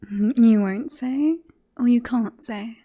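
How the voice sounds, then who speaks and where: A woman playing a smug, romantic royal in an ASMR roleplay, teasing and sultry with breathy, whispery phrases. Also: fairly high pitch, slow pace, warm tone. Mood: teasing, flirtatious.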